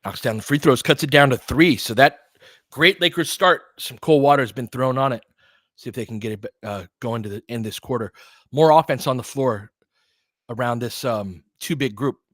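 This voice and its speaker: A man's voice: medium pitch, normal speed, a bit bright, dry recording, clean studio sound. A man giving informal live basketball play-by-play as a partisan commentator who urges on the home team.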